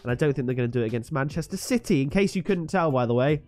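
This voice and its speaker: A man with a slightly higher voice and a stuffy, bunged-up nose from a cold talks through his football picks. He sounds chatty and sure of himself, and faint music plays underneath. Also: lively intonation, very fast, a little mellow. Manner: chatty, confident, hypes the fixtures. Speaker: football predictions YouTuber. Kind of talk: solo vlog match predictions.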